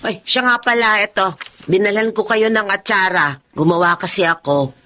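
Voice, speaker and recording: A woman's voice: mid-range voice, normal speed, pushed and bright, natural voice. An actress playing a caring, older mother-in-law and grandmother in a radio drama, fussing and exclaiming.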